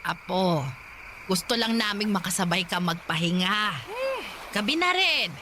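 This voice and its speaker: A woman's voice: mid-range voice, animated, a little slow, noisy background, close to an everyday voice. A woman playing a worried old Filipino grandmother in a radio drama, pleading and chiding to keep the peace. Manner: anxious, pleading, scolding.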